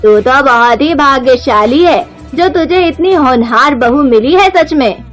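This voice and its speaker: Woman acting chatty high-voiced society lady. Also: lively intonation, unhurried, soft background music. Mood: admiring. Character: chatty, insistent middle-aged society lady friend. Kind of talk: melodramatic moral-story cartoon acting.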